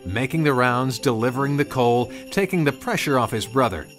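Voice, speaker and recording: A man's voice: medium pitch, brisk, a bit bright, faint music behind. A man narrating a scripted storybook tale as a storyteller.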